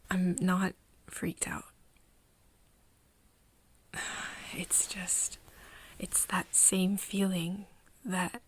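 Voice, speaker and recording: A woman in an ASMR roleplay playing a nervous, caring friend, speaking softly and haltingly and often slipping into breathy whispers. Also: on the low side, no room sound, exaggerated voice. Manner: hesitant, halting, with pauses and sighs. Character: nervous, caring young woman confiding in her vampire friend. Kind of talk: ASMR roleplay, intimate scripted acting. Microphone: close microphone, dry room.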